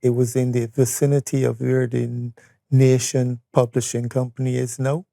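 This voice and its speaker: A Barbadian man in his seventies reminiscing conversationally as an interview guest, unhurried and pausing as he tells his story. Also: mid-range voice, little pitch movement, gravelly, dark tone, no echo, clean studio sound. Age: 70s. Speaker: interview guest, retired or veteran educator. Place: studio.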